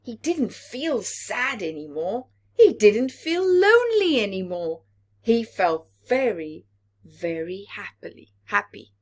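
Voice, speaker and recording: A woman narrates a children's picture book in a slow, deliberate way. Her pitch moves in lively swoops for dramatic effect, and her voice has a husky, breathy edge. She speaks as if directly to young listeners. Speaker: children's story reader. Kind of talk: expressive read-aloud picture-book narration with whispered asides.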